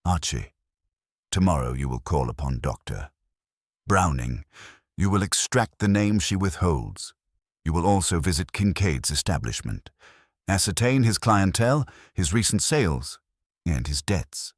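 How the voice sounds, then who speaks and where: A man's voice: low voice, big pitch swings, a little slow, dry recording, clean studio sound, full character voice. A man voicing an imperious, irritable detective in an audiobook, with formal, commanding, precise diction. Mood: grim, commanding.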